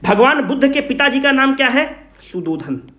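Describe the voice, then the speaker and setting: A man's voice: extremely high pitch, animated, moderate pace, pushed and bright. A man giving an informal exam revision lecture, asking questions and answering them himself while addressing students familiarly. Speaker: exam coaching teacher. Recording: roomy, echoing sound.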